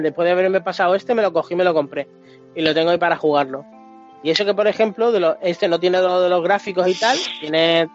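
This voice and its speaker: A man with a Spain Spanish accent chatting casually and animatedly about video games, in slangy, sweary speech. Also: a little high. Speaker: podcast panelist. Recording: dull lo-fi audio, music behind.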